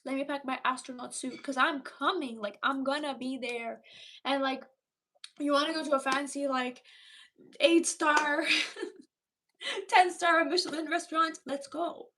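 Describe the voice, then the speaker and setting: A woman in her twenties hosting a casual livestream chat with viewers, animated and talkative, often repeating herself for emphasis. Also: high voice, measured pace, pauses often, a little hiss or hum. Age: 20s. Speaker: livestream host chatting with viewers.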